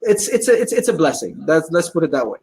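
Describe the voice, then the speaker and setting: Husky guy rattling off fast stories about his pizza place, lots of uhs. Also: fairly high pitch. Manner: animated storyteller, many fillers. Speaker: pizzeria owner and guest. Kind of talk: casual interview chat.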